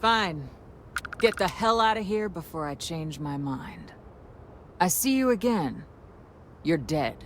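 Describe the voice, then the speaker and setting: A woman's voice: fairly low pitch, lively intonation, slow pace, long pauses, background noise. A woman playing a menacing, sardonic frontier gang boss in a video game, with a taunting, theatrical drawl. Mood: threatening.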